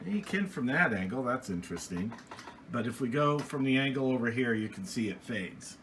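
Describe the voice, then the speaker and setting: A man's voice: medium pitch, lively intonation, moderate pace, noisy background. A middle-aged American man giving a casual, plainspoken, rambling product review from a small home office. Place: small indoor home office radio room.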